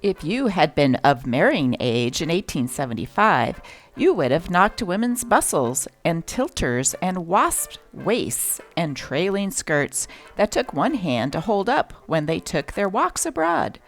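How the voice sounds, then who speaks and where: A woman hosting a show that reads aloud from old newspapers, with an expressive, varied delivery. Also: a little slow, soft background music. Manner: expressive reading with lively pitch. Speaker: channel host reading old newspapers.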